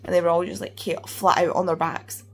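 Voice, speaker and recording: A woman with a hoarse, congested voice, chatting casually in a rambling way as a book vlogger. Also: fairly low pitch, flat delivery, brisk, a little hiss or hum. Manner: rambling, chatty, many fillers. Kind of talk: casual vlog chat.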